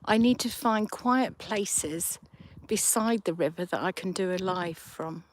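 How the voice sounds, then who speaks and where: A woman chats unhurriedly to her live viewers. She talks at a slow, easy pace, thinking aloud as she goes, her voice in a middle register. She holds her phone out of doors by a riverside. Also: medium pitch. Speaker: livestream host. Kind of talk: casual chat with live viewers. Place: outdoors by a river at sunset. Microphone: handheld phone.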